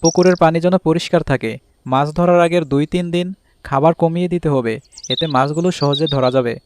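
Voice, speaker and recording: Chirping birds and clear background noise surround a village husband acted by a man in a cartoon. His voice sits fairly high for a man. He speaks as an encouraging fish farmer who keeps the household at peace. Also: fast, a little mellow, natural voice. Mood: practical, upbeat. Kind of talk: Bangla cartoon voice acting.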